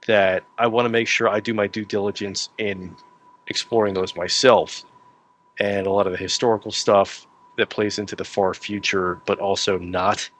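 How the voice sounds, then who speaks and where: A man's voice: fairly low pitch, fairly bright tone. A man speaking casually as a novelist guest in a podcast interview. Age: young adult to middle-aged, past 28.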